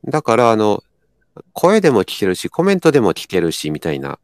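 A man with a Kansai accent chatting casually, thinking aloud in a halting way. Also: lowish voice, wildly swooping pitch, dry recording. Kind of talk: casual chat.

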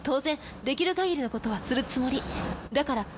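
A woman playing a composed, earnest high school girl in a radio drama, with a measured, firm manner. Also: lively intonation, noisy background. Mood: firm, encouraging. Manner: measured, earnest, feminine phrasing.

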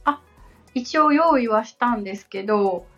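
A woman's voice: on the high side, expressive, moderate pace, a bit bright, breathy edge. A woman co-hosting a podcast, talking politely and conversationally in simple words. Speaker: podcast co-host and assistant. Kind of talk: conversational podcast talk, answering questions. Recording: slightly dull audio with background music. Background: background music.

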